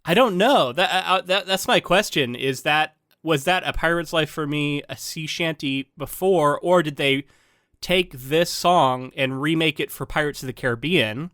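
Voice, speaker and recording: A man's voice: on the high side, normal speed, no room sound, studio quality. A man co-hosting a podcast, sounding a little congested, chatting casually with lots of ums and restarts. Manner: rambling, animated, frequent ums and restarts. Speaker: podcast co-host.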